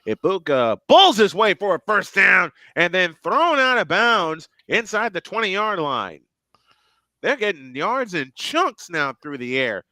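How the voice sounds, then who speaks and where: A man doing live play-by-play commentary on a football game, surging in pitch and volume on big plays and often repeating phrases. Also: very animated, a little slow, sharp tone, no echo. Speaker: play-by-play announcer.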